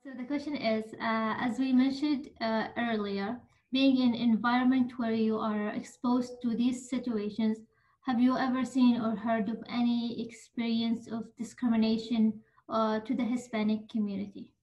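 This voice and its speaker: Woman moderator, higher voice, steady read of questions. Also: moderate pace, rough recording. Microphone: video call.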